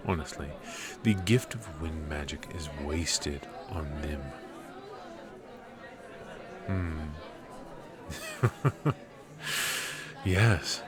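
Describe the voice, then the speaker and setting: A man playing a cold, strict royal magic professor in an ASMR roleplay, speaking in a measured, clipped, lecturing way. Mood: disdainful, stern. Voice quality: low and cool, measured.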